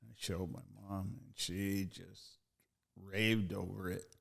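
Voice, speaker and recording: An older man from Texas, a guest artist, telling stories in a relaxed way and often answering with a string of yeahs. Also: deep voice, takes it slowly, gravelly. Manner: relaxed, repeats "yeah" often, chuckles at his own stories. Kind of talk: casual storytelling interview.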